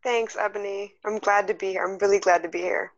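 A woman's voice: mid-range voice, fairly even intonation, a little fast, no room sound. A woman from Singapore who grew up in several countries, talking casually and reflectively as a podcast guest. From born in Singapore, raised across several countries. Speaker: podcast guest, emotional intelligence coach. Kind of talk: casual, reflective interview talk. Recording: dull, slightly muffled lo-fi audio.

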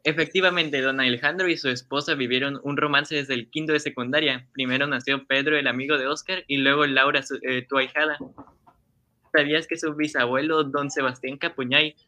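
A man's voice: mid-range voice, fairly even intonation, fairly quick, pushed and bright, dry recording. A man with a Peruvian accent playing an eager, talkative schoolboy in an amateur radio drama, earnestly reciting to his parents. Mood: earnest, chatty. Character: Juancito, eager, talkative, obedient schoolboy son. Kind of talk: amateur Spanish-language radio drama acting.

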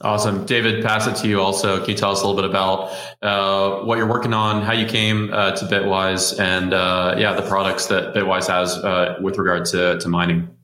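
Low, bright-edged man moderating casually. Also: level tone, normal speed, hardly any pauses. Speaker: interview host, moderator. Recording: roomy echo.

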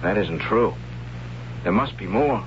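A gentle, wry young tribesman narrating. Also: on the low side, expressive, a little slow, slight background noise. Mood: hesitant. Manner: wry, hesitant, pleading. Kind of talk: 1970s radio drama narration. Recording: dull old radio broadcast with music.

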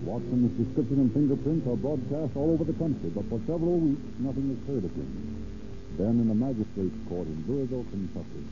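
A man's voice: medium pitch, lively intonation, a little fast, natural voice. A man narrating a 1930s radio crime drama in a formal scripted style. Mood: grave, formal. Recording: tinny old radio recording, music underneath.

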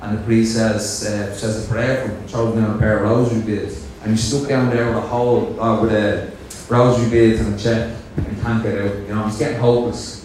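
A man's voice: on the low side, flat delivery, fairly quick, a little mellow, distant mic, slight background noise. A young man with a Dublin Irish accent telling his own recovery story from a stage, nervous and self-joking, with lots of fillers. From Dublin, Ireland. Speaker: speaker sharing his story at a convention. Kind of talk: personal recovery talk. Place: large hall on stage. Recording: echoey hall, picked up from afar.

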